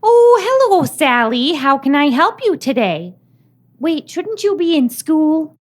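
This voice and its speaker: A woman's voice: sits high, sing-song, takes it slowly, heavily acted. A woman playing a scatterbrained, polite police station receptionist in an audio drama, sugary and fluttery. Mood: flustered, cheery.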